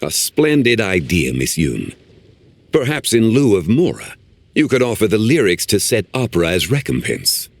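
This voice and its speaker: An actor voicing a composed, learned man in a video game, speaking formally and with measured care. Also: on the low side, big pitch swings, no room sound. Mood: calm, courteous. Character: composed ancient scholarly man, formal and unhurried. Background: faint game music.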